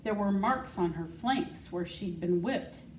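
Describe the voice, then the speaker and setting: Female storybook reader, unhurried and deliberate, roomy sound with faint background noise. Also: medium pitch, a little mellow, far from the mic. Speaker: school secretary, guest storybook reader. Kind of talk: reading a children's picture book aloud.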